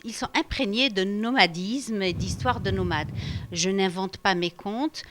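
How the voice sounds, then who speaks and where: A woman from Algeria, a storyteller being interviewed for radio at a festival, talking with many hesitations and fillers. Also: medium pitch. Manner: hesitant, many fillers, warm laughter when animated. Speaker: storyteller guest.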